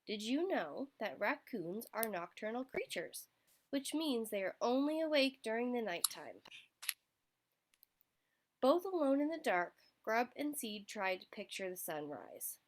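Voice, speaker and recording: A woman's voice: fairly high pitch, very animated, unhurried. A woman storyteller reading a picture book aloud to young children, expressively, addressing her listeners directly.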